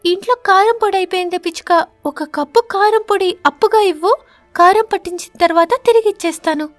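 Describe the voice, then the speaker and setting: A woman voicing a friendly female parrot neighbour in an animated story, chatty and kindly. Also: very high voice, brisk, no echo, faint music behind, pitched above the performer's own voice. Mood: polite request.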